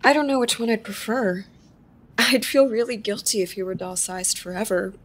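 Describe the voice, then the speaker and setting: Actress as an unsure, husky-voiced newcomer. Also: female voice, medium pitch, normal speed, lightly acted. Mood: anxious, uncertain. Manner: hesitant, questioning. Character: unsure, guilt-prone newcomer to a support group. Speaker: audio drama actor. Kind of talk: live audio drama acting.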